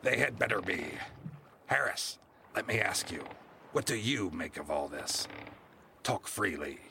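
Gravelly, cruel ship captain. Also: male voice, on the low side, expressive, a little slow, noisy background. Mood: cold, measured. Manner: commanding, menacing, archaic sailor speech. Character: cruel, domineering ship captain, contemptuous and vengeful. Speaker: actor in audio drama. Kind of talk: nautical audio drama acting.

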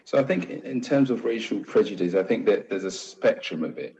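A man's voice: on the low side, animated, a little fast, mellow, muffled recording. A man with an English accent, a doctor speaking earnestly and searching for words.

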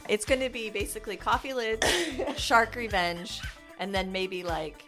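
A woman's voice: mid-range voice, unhurried. A woman co-hosting a podcast, with casual comedic chat and promo lines. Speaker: podcast co-host. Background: music.

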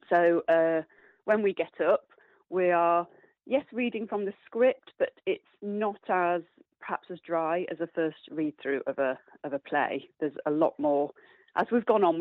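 A woman's voice: deep voice, no echo. A woman giving cheerful, thoughtful answers as an interview guest, heard over a phone-like line. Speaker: interview guest, stage actor. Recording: narrow, phone-like band.

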